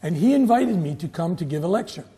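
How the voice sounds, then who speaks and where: A man, a guest historian recounting his background in a measured, storytelling way on a studio interview. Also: high voice, lively intonation, dark tone, in a large hall. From United States. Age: 70s. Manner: measured, storytelling, occasional self-correcting restarts. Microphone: lapel.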